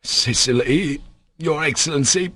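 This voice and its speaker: A man's voice: medium pitch, measured pace, bright, forceful tone, no echo, voice pushed up. A man voicing a humble, despairing captain and anxious father in a storybook, faltering and deferential. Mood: bewildered.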